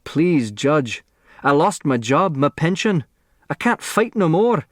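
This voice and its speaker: A male narrator plays a desperate, folksy doorman in an audiobook. He begs in plain working-man speech, with lively swings of pitch and an unhurried pace. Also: dry recording, clean studio sound. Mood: desperate pleading.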